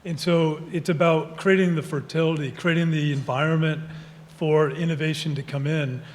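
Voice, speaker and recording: A man's voice: fairly high pitch, fairly even intonation, normal speed. A man speaking on a conference panel who asks the audience questions and answers them himself. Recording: echoey hall with faint noise.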